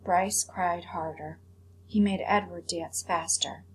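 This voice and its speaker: A woman's voice: fairly low pitch, fairly even intonation, takes it slowly, low-quality recording. A woman teacher reading a storybook aloud and commenting on it for pupils. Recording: clear background hum and noise.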